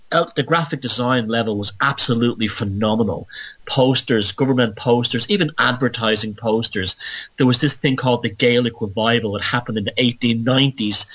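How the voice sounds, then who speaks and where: A man's voice: medium pitch, pushed and bright, low-quality recording. A man from Ireland with an Irish accent, hosting a podcast and talking through a digressive solo monologue, often correcting himself. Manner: musing, digressive, self-correcting. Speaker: podcast host.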